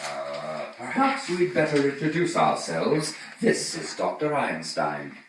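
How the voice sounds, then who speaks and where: A man's voice: on the low side, sing-song, slow. Menacing criminal man, echoey and distant male actor. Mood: coolly menacing. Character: menacing, sinister criminal man in a comedy thriller. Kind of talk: theatrical radio play acting. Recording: distant, echoey stage recording, faint noise.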